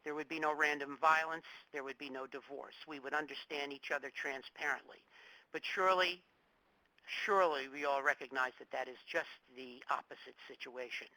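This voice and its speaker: A middle-aged man from New Jersey giving a public lecture, talking in a halting way with fillers, restarts and joking asides. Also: on the high side, steady tone, bright, forceful tone, dry recording, low-quality recording. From New Jersey, United States. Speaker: guest lecturer.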